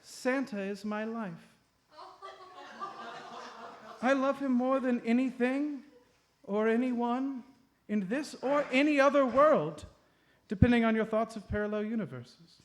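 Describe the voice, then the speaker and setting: A man's voice: very high voice, normal speed, a little hiss or hum. A man voicing a sweet, lovelorn older woman, earnest and fussy, in a live comedy radio play. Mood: pleading, sentimental.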